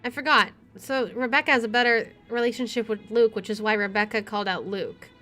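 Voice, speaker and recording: A woman's voice: a little high, fairly even intonation, brisk, pushed and bright. A woman streamer reading a visual novel aloud and adding casual commentary. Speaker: let's play streamer. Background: faint game music.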